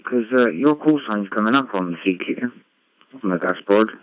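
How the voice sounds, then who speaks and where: Low-voiced man on dull ham radio. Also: expressive, moderate pace, no room sound. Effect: digital ham radio transmission. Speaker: amateur radio operator. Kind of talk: casual radio contact chat, technical. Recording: dull, band-limited amateur radio audio.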